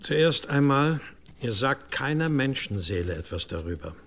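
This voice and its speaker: An actor playing a stern older abbot in an audio drama, speaking gravely and deliberately with commanding authority. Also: male voice, medium pitch, big pitch swings, fairly bright tone, slight background noise. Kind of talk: German audio drama acting.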